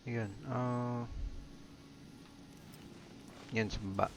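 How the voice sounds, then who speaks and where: A man's voice: medium pitch, steady tone, very slow, slight background noise. A man with a Filipino accent giving casual live commentary as he streams a game. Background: video game music.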